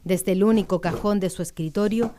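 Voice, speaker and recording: A woman's voice: mid-range voice, brisk, dark tone, a little hiss or hum. A woman narrating a radio drama in a literary, scripted style. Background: plucked guitar music.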